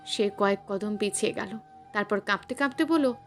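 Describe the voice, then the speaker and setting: A woman's voice: medium pitch, normal speed, faint music behind. A woman narrating a scripted suspense story in a dramatic, emphatic storytelling manner.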